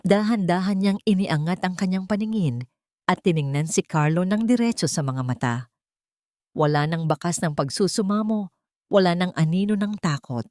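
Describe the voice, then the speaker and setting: A woman's voice: sits low, a little mellow, no echo, studio quality. A woman storyteller reading a scripted, dramatic story narration.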